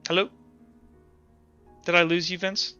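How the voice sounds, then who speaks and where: A bright, clear-voiced man runs a tabletop game over a voice call. He sits a little high in pitch and feels out his descriptions haltingly. The audio is dry but slightly muffled, with faint music. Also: level tone. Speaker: game master. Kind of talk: improvised tabletop game narration and rulings. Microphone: online voice call.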